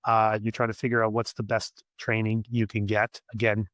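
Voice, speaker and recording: Raspy Canadian man, dry close sound. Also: on the low side, completely flat delivery, fairly bright tone, no echo. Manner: halting, repeats words as he thinks aloud. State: losing his voice. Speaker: podcast guest, scaffolding company executive. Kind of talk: conversational interview.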